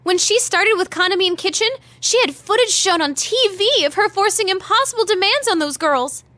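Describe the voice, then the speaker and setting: Very high, bright teenage idol girl. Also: brisk, no room sound, heavily acted. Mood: gossipy, grumbling. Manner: gossipy, emphatic, expressive. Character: perky teenage girl pop idol, chatty and dramatic. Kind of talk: animated video game voice acting.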